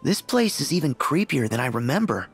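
A man playing an eager, expressive teenage boy hero in a video game, with a husky voice. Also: medium pitch, a little fast, faint music behind. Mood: curious.

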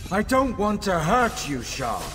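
A slow, echoing villainous master. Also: male voice, high-pitched, sing-song. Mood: disdainful. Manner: pompous, drawn-out, possessive. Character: theatrical, controlling male villain, a cult-like master. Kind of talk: video game voice acting. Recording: long echoing hall, faint background noise.

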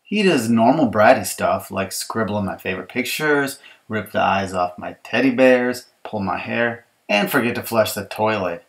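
A man's voice: on the low side, moderate pace, creaky, hardly any pauses. A man reading a children's picture book as an exasperated young girl complaining about her bratty little brother, emphatic and mock-aggrieved. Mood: indignant. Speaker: author reading his audiobook.

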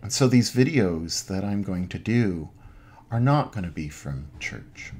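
A middle-aged man, an Anglican priest, giving an informal online sermon from his home den in measured, halting phrases. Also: low-pitched, animated, a little mellow, slightly rough. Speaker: Anglican priest, parish pastor. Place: small home den with bookshelves.

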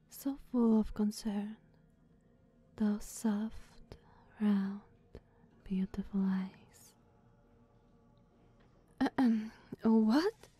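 A woman playing a devoted, anxious female knight in an ASMR roleplay, speaking formally and hesitantly with breathy tenderness. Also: mid-range voice, dark tone. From Slavic country. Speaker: audio roleplay performer.

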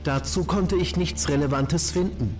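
A man's voice: a little high, moderate pace, over music, natural voice. A man voicing a precise, emotionless artificial intelligence analyst in a sci-fi video game. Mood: neutral, analytical. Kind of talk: video game dialogue acting.

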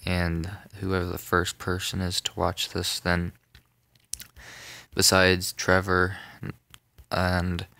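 A young man narrating a casual phone tutorial, rambling, with many ums and self-corrections, in a soft voice. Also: deep voice, monotone, slow pace, vocal fry, dry recording. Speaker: tutorial maker. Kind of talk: casual screen-recorded tutorial.

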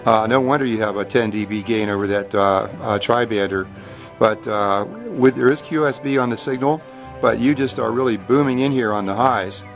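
American man on amateur radio, mid-low everyday voice. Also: normal speed, soft background music. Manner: friendly, courteous. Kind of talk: casual ham radio contact.

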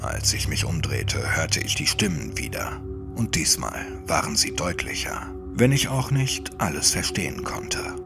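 A man narrating a scripted first-person horror story like an audiobook, in a measured, suspenseful manner. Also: very deep voice, very animated, vocal fry, music in the background.

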